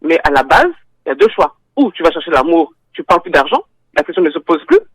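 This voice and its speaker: A woman guest on a radio talk show, speaking haltingly with repeated words, then arguing her point firmly. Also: low-pitched, very animated, breathy edge, no room sound, low-quality recording.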